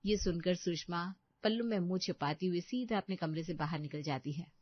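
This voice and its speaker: A woman's voice: fairly low pitch, normal speed, dry recording, dull audio. A woman narrating a scripted dramatic story as a storyteller.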